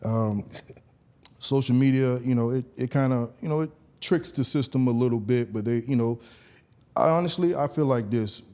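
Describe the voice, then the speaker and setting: Mellow, relaxed male podcast host. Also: mid-range voice, moderate pace, smooth. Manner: relaxed, conversational, many "you know"s. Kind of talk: casual podcast conversation.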